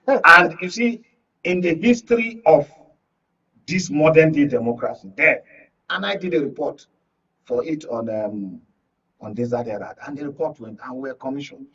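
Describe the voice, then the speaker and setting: A man's voice: a little high, moderate pace, pushed and bright, slightly rough. A Nigerian-accented man speaking as a guest analyst on a live political panel, emphatic and repeating words for stress. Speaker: studio guest, journalist and media analyst. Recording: muffled, distant-mic broadcast audio.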